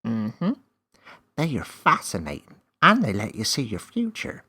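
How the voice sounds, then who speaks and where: A man's voice: medium pitch, huge pitch swings, measured pace, bright, forceful tone, breathy edge, pitched above the performer's own voice, full character voice. A man voicing a tiny, cheeky elf in a children's story, friendly and bossy, with a teasing, theatrical manner. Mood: gleeful.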